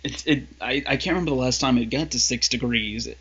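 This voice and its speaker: Husky-voiced male podcast host. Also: medium pitch, a bit bright. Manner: measured, asks questions to steer the talk. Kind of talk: casual podcast chat. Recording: dull lo-fi podcast audio.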